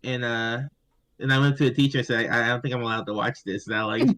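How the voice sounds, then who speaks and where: A man's voice: mid-range voice, steady tone, fast talker, a bit bright. A man chatting casually with friends, sheepish and self-mocking about a childhood story. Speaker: stream guest. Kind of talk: casual chat.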